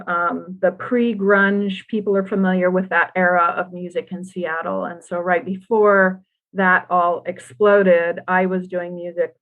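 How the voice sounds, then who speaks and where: An older woman talking as a podcast guest, rambling with frequent ums and restarts. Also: mid-range voice. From Burma, raised partly in the United States. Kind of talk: casual interview. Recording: tinny phone-like line.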